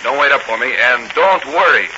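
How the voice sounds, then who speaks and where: A man's voice: medium pitch, animated, brisk, natural voice. An American actor playing a confident, earnest policeman hero in a 1940s radio drama. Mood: earnest, determined. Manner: earnest, resolute. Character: brisk, confident policeman and masked crime-fighter hero. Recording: muffled, noisy 1940 radio recording.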